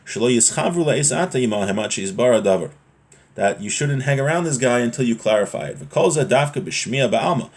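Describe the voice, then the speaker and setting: A man's voice: medium pitch, expressive, a little fast. A man giving an informal religious lesson as a teacher, reading from a text and explaining it point by point, with rhetorical check questions.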